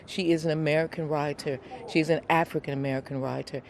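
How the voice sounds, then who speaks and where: A man's voice: fairly high pitch, steady tone, fast, loud music behind the voice. A man giving an emphatic comment in an interview. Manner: emphatic, admiring.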